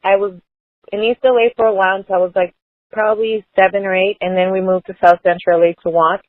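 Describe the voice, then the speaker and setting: A woman's voice: medium pitch, little pitch movement, fast talker, dry recording. A woman from Los Angeles, a guest who tells her life story in an open, earnest way. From Los Angeles, United States. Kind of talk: casual conversation. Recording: dry, phone-like narrow sound.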